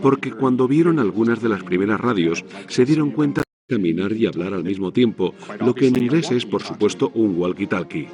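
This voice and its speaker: A man's voice: on the low side, expressive, a little fast. A man reading scripted narration in the style of a documentary. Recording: music playing behind the voice. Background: background music.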